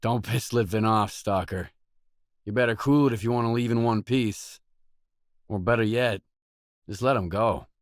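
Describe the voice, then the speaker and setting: A male actor playing a weary, tough, guarded stalker mercenary in a video game, speaking in blunt, deadpan lines. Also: on the low side, normal speed, long pauses, dry recording, clean studio sound, lightly acted. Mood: curt, menacing. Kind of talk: video game voice acting, isolated dialogue lines.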